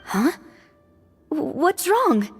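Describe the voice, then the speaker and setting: Tiny fairy sidekick for an anime game: extremely high, squeaky, childlike, lively swoops, excitable and jumpy. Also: female voice, extremely slow, soft background music, heavily acted. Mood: scared, whimpering. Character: small childlike fairy companion, excitable and easily frightened.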